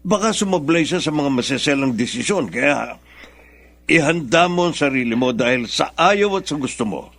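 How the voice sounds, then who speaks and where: A man acting in a Filipino radio drama as a smooth-talking, insistent older businessman father. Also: a little high, expressive, moderate pace, a bit bright. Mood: stern, insistent.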